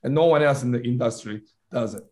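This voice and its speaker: A man from Turkey, a founder making a fluent business pitch in a podcast interview, correcting himself on the fly. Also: fast talker, warm tone. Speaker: startup founder guest.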